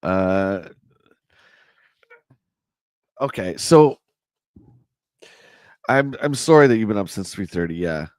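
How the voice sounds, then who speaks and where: A man with a hoarse voice hosting a casual livestream, chatting and answering viewer comments. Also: fairly low pitch, animated, measured pace, dry recording. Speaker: livestream host. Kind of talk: casual live chat reading a magazine.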